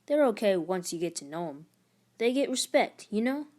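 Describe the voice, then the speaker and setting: A woman's voice: mid-range voice, big pitch swings, moderate pace, darkish tone, lightly acted. A woman voicing a proud, status-hungry middle-school boy in a storybook, with a casual, defiant manner. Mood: defensive pride.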